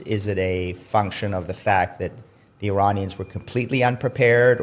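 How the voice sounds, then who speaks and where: Low-voiced man asking long questions. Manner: wordy questions with repeated words. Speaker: podcast host, interviewer. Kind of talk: interview questions.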